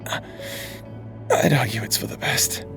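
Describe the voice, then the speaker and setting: A man playing a weary, brooding former tyrant in a fantasy audio roleplay, speaking in a halting, reflective and needling way. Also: over music, natural voice. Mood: solemn, wistful. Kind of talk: dramatic audio roleplay monologue.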